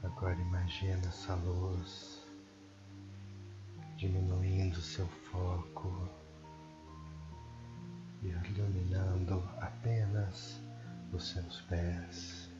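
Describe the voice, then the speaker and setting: Very slow, deep Brazilian man guiding meditation. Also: monotone, dull audio. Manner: soothing, hushed, long pauses. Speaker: guided meditation narrator. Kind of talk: slow guided sleep meditation. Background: prominent meditation music.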